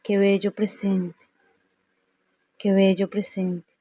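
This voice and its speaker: A woman leading a guided meditation in a serene, unhurried way, leaving long pauses between phrases. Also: medium pitch, little pitch movement, dark tone, breathy edge, dry recording. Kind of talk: slow guided meditation narration.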